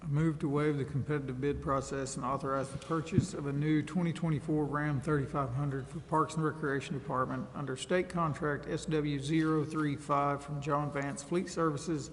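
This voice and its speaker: An American man reads formal motions in a somewhat high voice. The hall adds reverb, with faint noise behind. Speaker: city council member. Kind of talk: formal motions and roll-call votes.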